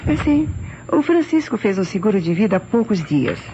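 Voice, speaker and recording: A woman playing an uneasy Brazilian wife in a vintage radio mystery. She has a mellow, mid-pitched voice with swooping, theatrical intonation and a hesitant, defensive manner. The sound is dull with faint noise. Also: big pitch swings, moderate pace, natural voice. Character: uneasy, defensive wife of a missing rich man. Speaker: actress in a radio mystery serial. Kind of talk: old Brazilian radio drama acting. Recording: muffled, noisy old radio recording.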